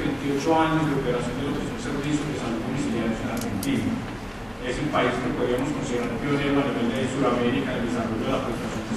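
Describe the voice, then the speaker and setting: A man with a Paisa Colombian accent, a respiratory therapist giving a fluent, measured panel presentation. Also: medium pitch. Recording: echoey hall from far off, loud noise.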